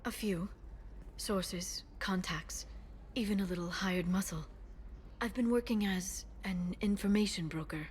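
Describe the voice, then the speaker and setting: Woman as alien female broker, video game, measured, earnest, guarded, faint background noise. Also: medium pitch, lightly acted. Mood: soft, relieved. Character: guarded alien female information broker, earnest, quietly menacing when crossed. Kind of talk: video game dialogue acting.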